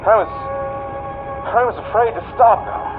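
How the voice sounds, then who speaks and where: An actor playing a desperate, haunted male driver with intense, halting 1940s radio delivery. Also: very high voice, over music. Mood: haunted, anxious. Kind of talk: 1940s radio acting, first-person narration and dialogue. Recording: narrow, noisy vintage radio drama recording. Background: vehicle and radio ambience.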